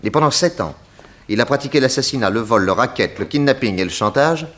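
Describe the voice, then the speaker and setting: An actor playing a suave, confident detective with a wry, teasing manner in a 1960s French radio drama. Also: male voice, mid-range voice, lively intonation, a little fast, a bit bright, natural voice. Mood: assured. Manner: wry, assured.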